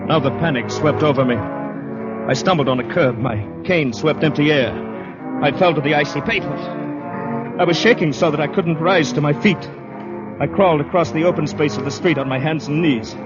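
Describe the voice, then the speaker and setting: An actor playing an anxious, shell-shocked Canadian soldier telling his story haltingly in a vintage radio suspense drama, on a dull recording. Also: a little high, natural voice. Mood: rising panic. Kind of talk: 1940s radio drama acting, first-person suspense narration. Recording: dull vintage radio, faint music behind.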